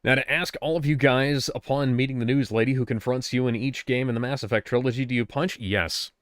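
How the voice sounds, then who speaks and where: A man's voice: medium pitch, fairly even intonation, fairly quick, clean tone, no echo, clean studio sound. A man in his late twenties co-hosting a casual livestream chat, joking and self-deprecating. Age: 20s. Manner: jokey, self-deprecating banter. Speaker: podcast co-host.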